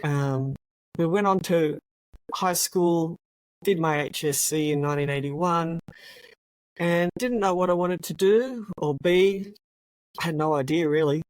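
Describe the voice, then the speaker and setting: An Australian woman in her sixties, a retired police officer telling her story as an interview guest in a relaxed, reflective way. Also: lowish voice, unhurried, no room sound, low-quality recording. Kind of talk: interview conversation.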